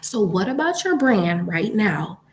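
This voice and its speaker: A woman coaching informally in a hushed, partly whispered voice, emphatic, often checking in with the listener. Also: mid-range voice, very animated, measured pace, a little hiss or hum. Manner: emphatic, rhetorical questions and frequent check-ins. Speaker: YouTube branding and e-commerce coach. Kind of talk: informal coaching talk to camera. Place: library.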